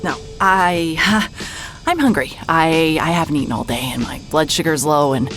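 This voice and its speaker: An actress playing a hard-hearted, sleep-deprived woman private investigator in a horror audio drama, speaking in a weary, halting way. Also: low-pitched, expressive, fairly bright tone. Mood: rattled, self-doubting. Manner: weary, halting, stammering under stress. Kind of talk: noir-like first-person audio drama narration. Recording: music behind the voice.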